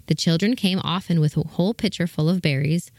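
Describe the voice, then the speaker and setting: Narrator, female, lower-than-average register, reading a scripted children's fairy tale in a dry, close recording. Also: fairly quick, darkish tone, no room sound. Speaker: story narrator.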